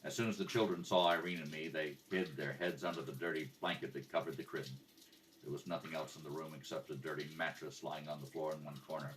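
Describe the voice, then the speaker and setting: Very low male detective played by a man, radio crime drama, deadpan, calm, patient; off-mic home recording. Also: quick pace. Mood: matter-of-fact. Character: calm, matter-of-fact male police detective, patient with children. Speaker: police detective in a radio play rehearsal. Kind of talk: 1950s radio detective drama, clipped narration and dialogue. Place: kitchen at home. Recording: homemade, distant mic with background noise.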